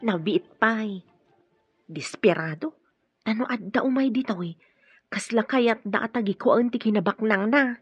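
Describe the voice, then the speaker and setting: High, husky-voiced housemaid. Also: female voice, normal speed, no room sound, lo-fi sound, faint music behind. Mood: confiding. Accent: Filipino. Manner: deferential, confiding. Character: respectful, chatty housemaid of a mansion. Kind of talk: Ilocano radio drama acting.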